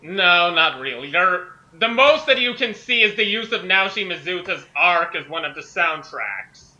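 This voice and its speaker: A man's voice: sits high, lively intonation, moderate pace, pushed and bright, highs cut off. A young man on an online group call, chatting casually and reading his own writing aloud. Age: young adult. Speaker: fanfic author joining the reading.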